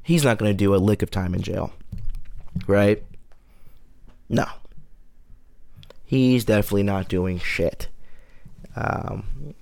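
A man's voice: lowish voice, gravelly, no echo. A man in his late twenties hosting a solo podcast, rambling through loose, profane casual commentary. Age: 20s. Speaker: podcast host.

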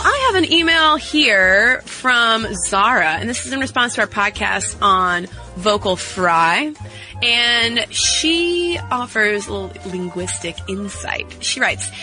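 A woman's voice: mid-range voice, very animated, a little slow, sharp tone, soft background music, low-quality recording. A woman co-hosting a podcast, reading listener letters in a chatty, amused way. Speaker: podcast co-host.

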